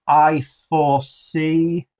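A man's voice: a little high, little pitch movement, drawn-out words, a little mellow. A British man in his fifties chatting casually as co-host of a news show, recorded in a small room indoors. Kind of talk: casual chat.

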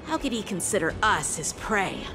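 A woman's voice: medium pitch, sing-song, normal speed, music in the background, natural voice, low-quality recording. A woman voicing a bold young knight boy. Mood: earnest. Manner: earnest, incredulous questions. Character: eager young male knight, bold and quick to question. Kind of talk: game cutscene voice acting.

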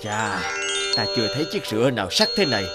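A man voicing a fat, wealthy, scheming cartoon landlord in a pompous, taunting, drawn-out way. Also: fairly low pitch, very animated, music in the background. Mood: sly. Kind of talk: cartoon dialogue acting.

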